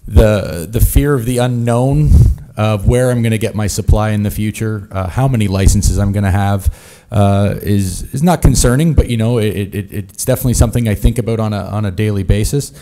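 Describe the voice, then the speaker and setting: A man with a low, warm voice speaks on a business panel at a conference table. He explains plainly and earnestly, with frequent uhs. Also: fairly quick, hardly any pauses. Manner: plain, earnest, filler-laden. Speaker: company founder panelist. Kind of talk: panel discussion. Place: conference stage.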